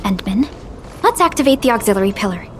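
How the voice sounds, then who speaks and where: A woman playing a talkative, expressive young female investigator in a video game, with music behind her. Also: mid-range voice, quick pace, a little hiss or hum, close to an everyday voice. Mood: curious. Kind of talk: animated video game voice acting. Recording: loud music behind the dialogue.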